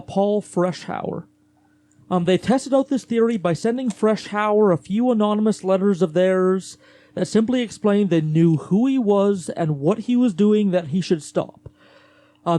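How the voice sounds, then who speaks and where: A man's voice: high voice, warm tone, no room sound. A man hosting a podcast, telling a true-crime case in a casual, conversational way with fillers and restarts. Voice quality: voice gets gravelly later in the day. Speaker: podcast host narrating a case.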